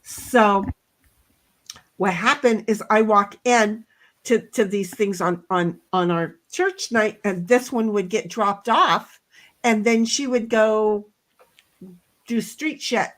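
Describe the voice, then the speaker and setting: A middle-aged woman with a loud voice, telling a story bluntly in a casual chat, swearing now and then. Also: mid-range voice, takes it slowly. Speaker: co-host.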